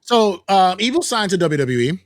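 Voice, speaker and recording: A man hosting a casual wrestling news podcast, talking in an animated, joking way. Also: sits high, normal speed, no room sound, studio quality. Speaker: podcast host.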